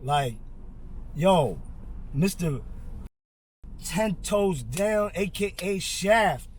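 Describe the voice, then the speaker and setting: Halting, repetitive New podcast host. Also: male voice, sits high, lively intonation, slight background noise. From Korea, raised in Flushing, Queens. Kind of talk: casual podcast interview.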